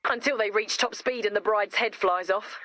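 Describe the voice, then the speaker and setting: A woman voicing a chirpy, confident, quirky young builder in a video game, brisk and matter-of-fact. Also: medium pitch, bright, forceful tone, no room sound, lightly acted. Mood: upbeat. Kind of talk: video game character dialogue.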